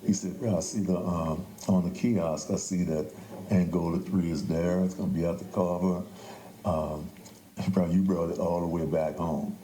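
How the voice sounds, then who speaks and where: An older man, a playwright talking reflectively and haltingly as an interview guest. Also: low-pitched, steady tone, mellow. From New Orleans, United States. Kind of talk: conversational interview. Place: echoey indoor theater. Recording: echoey room, faint background noise.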